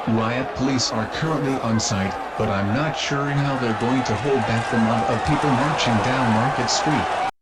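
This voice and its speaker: A man's voice: medium pitch, natural voice. A man playing an anxious TV field reporter giving a dramatic live report from a riot. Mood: uneasy, urgent. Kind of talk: live breaking-news field report acting. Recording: very noisy, loud crowd and street din. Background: loud rioting crowd, outdoor city noise.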